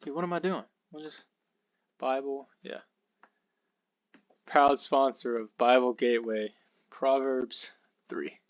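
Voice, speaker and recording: Young male podcast host, a touch hoarse, loose and chatty, mid pitch, dry muffled home recording. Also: slow, pauses often, no echo. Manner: relaxed and rambling, with fillers and asides. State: slightly hoarse. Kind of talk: casual Bible study talk.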